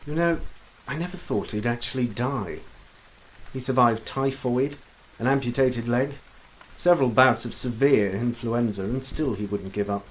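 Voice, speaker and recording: A man playing an earnest Victorian gentleman doctor with a dry, bitter streak in a theatrical period drama. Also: medium pitch, moderate pace, slight background noise, lightly acted, rough recording. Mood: wry, bitter. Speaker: stage actor.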